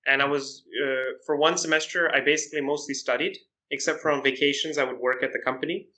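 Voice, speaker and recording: A young man as a podcast guest, telling stories casually with frequent pauses and restarts. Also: mid-range voice. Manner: relaxed storytelling, hesitant with "uh" and restarts. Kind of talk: casual chat.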